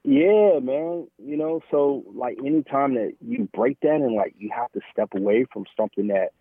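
Husky American man over a phone-like line. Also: mid-range voice, no room sound. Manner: informal, halting, peppered with "man" and "you know". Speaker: interview guest, entrepreneur. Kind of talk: candid conversational interview. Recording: thin phone-like line, dry.

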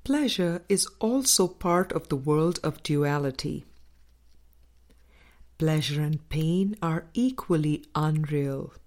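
Slow, low-voiced woman reading an audiobook. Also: animated, darkish tone. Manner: measured, deliberate phrasing with frequent pauses. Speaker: audiobook reader. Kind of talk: scripted audiobook narration of a spiritual dialogue.

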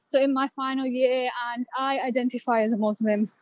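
A woman's voice: sits high, fairly even intonation, brisk. A young woman from the north of England, a student guest chatting casually on a podcast. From Manchester, England. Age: young adult. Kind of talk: casual chat.

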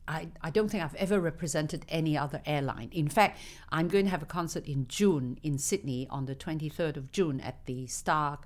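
Older woman with a low voice. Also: normal speed, hardly any pauses. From Hong Kong. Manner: anecdotal, laughs between stories. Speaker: singer interviewed as guest. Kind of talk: reminiscing interview.

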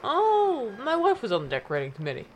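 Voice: high voice, wildly swooping pitch, quick pace, breathy edge, heavily acted. An improv radio-play performer playing Bob, an oblivious, awkwardly polite well-off school dad. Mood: breezy small talk.

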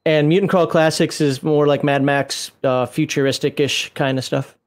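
A man with a slightly raised pitch chats loosely on a live stream. He rambles, fills gaps with "uh" and "you know," and laughs often, his voice a bit worn from days of talking. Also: fairly even intonation, normal speed. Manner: rambling, laughing, many fillers. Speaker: streamer co-host. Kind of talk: casual live-stream chat.

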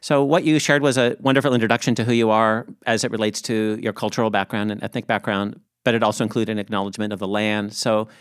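A man hosting a podcast, speaking in a measured, articulate way with formal phrasing in introductions and interview questions. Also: fairly low pitch, flat delivery, clear, resonant tone, no echo, studio quality. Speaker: podcast host.

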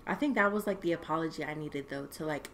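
A young woman co-hosting a podcast, talking casually in an animated, rambling way with plenty of swearing. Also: deep voice, normal speed, a little hiss or hum. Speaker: podcast co-host. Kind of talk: casual chat.

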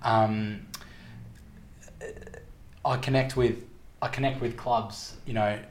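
A young Australian man speaks in a fairly low voice as an interview guest. He tells his story casually and reflectively, often pausing to find words. The room is echoey, with faint background noise. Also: steady tone. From Victoria, Australia. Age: 20s.

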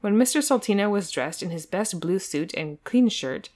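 A woman's voice: medium pitch, lively intonation, moderate pace, a little mellow. A woman co-hosting a podcast, moving between dramatic storybook narration and casual, amused chat. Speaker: podcast co-host and reader.

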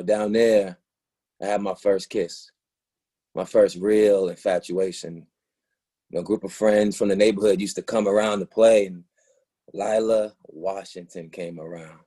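A man acting in a play reading as a wounded, confrontational young boyfriend, with a slightly husky, halting delivery. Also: on the low side, warm tone.

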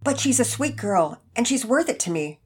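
A sweet old widower as a woman narrator acts him out, raised in pitch and full of swoops. He talks in a gentle, folksy, rambling way, frail and grateful, like a character in a children's storybook. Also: very animated, moderate pace, husky, full character voice. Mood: troubled. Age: older.

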